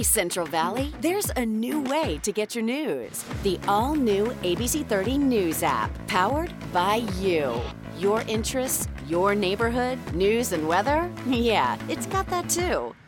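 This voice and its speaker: A woman's voice: medium pitch, a little slow. Upbeat woman promo voice over music. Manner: bright, energetic, salesy. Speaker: promo announcer. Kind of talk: upbeat app promo read.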